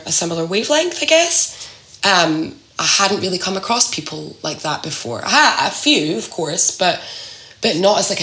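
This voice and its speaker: Low, creaky-voiced woman, thoughtful. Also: fairly bright tone, echoey room. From Scotland. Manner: halting, thoughtful, searching for words. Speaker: podcast guest sharing a personal story. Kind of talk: reflective casual conversation.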